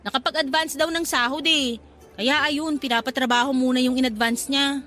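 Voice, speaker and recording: Woman acting a sassy, high-voiced shopgirl gossip. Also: steady tone, normal speed, fairly bright tone, soft background music. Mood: chatty. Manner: slangy, sassy. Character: gossipy, pragmatic young female shop co-worker. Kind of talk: radio drama acting.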